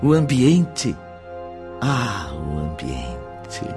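Slow, dramatic spiritual storyteller. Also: male voice, low-pitched, huge pitch swings, heavily acted. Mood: hushed, descriptive. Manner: deliberate, dramatic, formal. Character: solemn, gentle storyteller of a spiritual tale. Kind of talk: dramatised scripted narration. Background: music under the voice.

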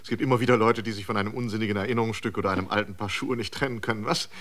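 A man's voice: on the low side, steady tone, rapid-fire, fairly bright tone, close to an everyday voice. A man playing an officious, prying airfield manager in a science fiction radio drama, clipped, tacking on little questioning interjections. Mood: officious, probing.

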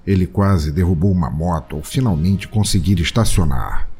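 Very deep, dramatic Brazilian male narrator. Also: normal speed, mellow, breathy edge. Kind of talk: scripted comic audio-drama narration. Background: music bed.

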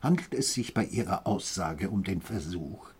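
An actor playing a stern, sceptical police inspector in a radio play, husky-voiced, curt and probing. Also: male voice, low-pitched, expressive, normal speed, full character voice.

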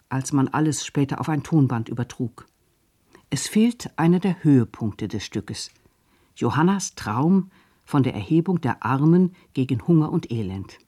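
A man reading a scripted radio documentary narration in a measured, formal way. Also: a little high, mellow.

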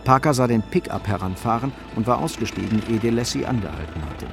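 A man's voice: mid-range voice, animated, quick pace, vocal fry. A man narrating a crime radio play in a scripted, literary style. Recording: music under the voice. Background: music.

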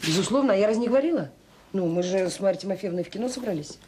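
Actress as middle aged mom in a radio play, homely n a bit bossy, natural delivery, slight noise. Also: female voice, medium pitch, lively intonation, rapid-fire, dark tone, close to an everyday voice. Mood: evasive, brisk. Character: devoted, gently bossy middle-aged mother of a grown son. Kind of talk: naturalistic Soviet radio drama acting.

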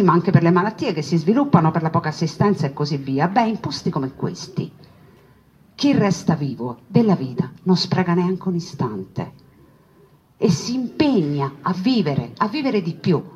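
The recording is slightly dull and muffled. A woman tells a story as a stage monologue, her voice on the low side and husky. She addresses her listeners expressively, repeating phrases and slipping into conversational asides. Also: darkish tone. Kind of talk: theatrical narrative monologue to an audience.

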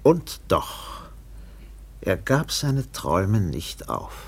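A weathered sea captain tells his tale in a low, slightly husky voice. He is measured and deliberate, yet his delivery rises and falls widely, in the manner of old radio drama. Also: big pitch swings, darkish tone, heavily acted. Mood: brooding, wistful. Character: weathered sea captain recalling a doomed trader in Borneo. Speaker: narrator. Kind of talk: dramatic German radio play narration.

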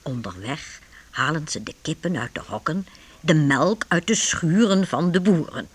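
A narrator telling a historical story for a Dutch radio drama in an expressive, deliberate scripted style. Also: medium pitch, husky.

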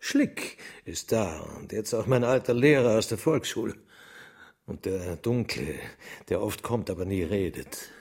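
An actor in a radio drama playing a frail, obsessive, childlike genius husband, earnest and precise, with a husky voice. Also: male voice, on the low side, expressive, unhurried, darkish tone, heavily acted. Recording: studio radio play, faint music.

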